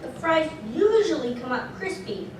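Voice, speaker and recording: A woman plays an eager little boy, high and lively, completely sincere and proud of himself. The voice sits in a noisy, echoey hall. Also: moderate pace. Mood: eager, earnest. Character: earnest, proud eight-year-old boy superhero who cooks fries. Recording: echoey hall, distant mic, background noise.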